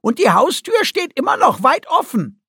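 A man voicing a small, cheeky, bossy mousebeaver creature in a brisk, emphatic, encouraging but stern manner for an audiobook. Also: very high-pitched, wildly swooping pitch, sharp tone, no room sound, clean studio sound, voice pushed up, full character voice. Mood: wry.

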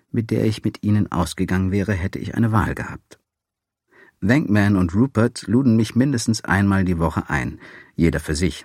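A man's voice: low-pitched, a little mellow, husky, no room sound. A man reading a first-person memoir as an audiobook, with dry, wry storytelling. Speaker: audiobook narrator.

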